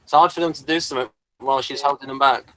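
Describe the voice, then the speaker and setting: A man's voice: mid-range voice, fairly even intonation, fast talker, bright, forceful tone, a little hiss or hum, rough recording. A man hosting a casual review discussion, speaking hesitantly and probing with a contrarian bent. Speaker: podcast host reviewing TV episodes.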